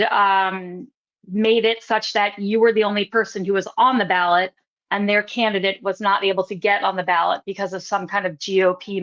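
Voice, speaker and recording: A woman's voice: mid-range voice, a bit bright. Woman host, slightly dull audio. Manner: measured, hedging with "um" and "kind of". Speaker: podcast host. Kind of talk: interviewing a local candidate.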